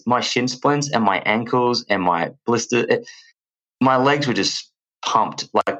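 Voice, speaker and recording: A man in his thirties with an Australian accent, a podcast guest telling stories in an energetic, rambling way. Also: on the low side, low-quality recording. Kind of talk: casual chat.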